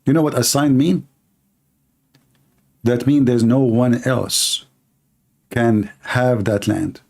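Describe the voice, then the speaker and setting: A man's voice: medium pitch, steady tone, slow pace, creaky, darkish tone. A man with an Arabic accent, a native Arabic speaker, hosting informal live teaching in a mocking, repetitive way full of rhetorical questions. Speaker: live stream host and religious polemicist.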